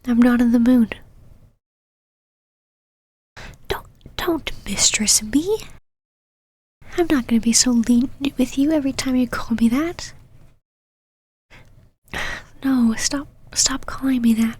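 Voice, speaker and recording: A possessive vampire knight mistress, played by a woman in an ASMR roleplay, speaking in a high, breathy whisper. She takes her time, haughty on the surface and halting underneath, with a secretly tender streak. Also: darkish tone, no room sound. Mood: sulky, stern. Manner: haughty but flustered, halting, sighing. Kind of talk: intimate ASMR roleplay, close whispered acting.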